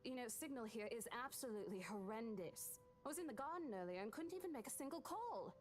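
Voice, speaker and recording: A woman's voice: a little high, expressive, fast talker, slight background noise, lightly acted. An actress playing a caring, responsible saleswoman and protective mentor in a visual novel game. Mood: puzzled, explaining.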